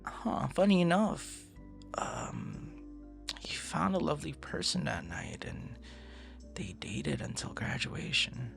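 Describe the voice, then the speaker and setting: A man acting as a young romantic with a slow, lilting delivery and a gravelly edge. He rambles hesitantly and drops into soft asides, as in an intimate boyfriend audio roleplay. Soft music plays behind him. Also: fairly high pitch, huge pitch swings, heavily acted. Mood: wistful, casual.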